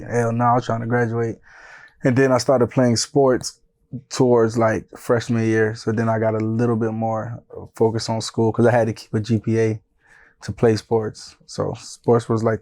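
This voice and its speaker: Flat-voiced laid-back man in his thirties. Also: lowish voice. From born in Haiti, raised in Broward County, Florida. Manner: laid-back, often says "you know what I'm saying". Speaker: music manager and guest. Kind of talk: casual interview storytelling.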